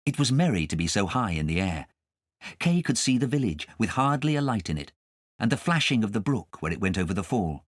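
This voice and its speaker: Very animated man reading a storybook. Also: on the low side, fairly quick, no echo, studio quality. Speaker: audiobook narrator. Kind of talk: scripted storybook narration.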